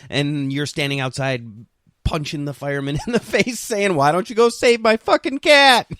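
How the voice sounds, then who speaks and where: A man's voice: a little high, normal speed, fairly bright tone, no echo. Chatty man telling stories, dry mic. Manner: laughs often while telling stories. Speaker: podcast co-host. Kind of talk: casual chat.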